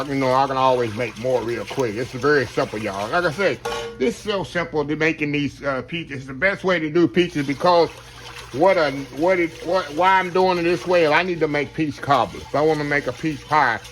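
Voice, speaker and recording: Chatty man, slightly hoarse. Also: fairly high pitch, brisk, a bit bright, a little hiss or hum, rough recording. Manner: chatty, keeps addressing viewers as y'all. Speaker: home cook. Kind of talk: casual cooking demonstration. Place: home kitchen.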